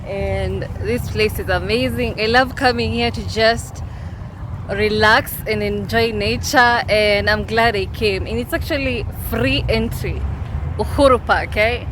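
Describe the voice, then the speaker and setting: A young woman with a Kenyan accent talks slowly and evenly to her viewers, upbeat and explanatory. She records outdoors in a park, with faint traffic and outdoor sound behind her. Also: fairly high pitch, steady tone. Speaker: travel vlogger. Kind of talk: casual travel vlog.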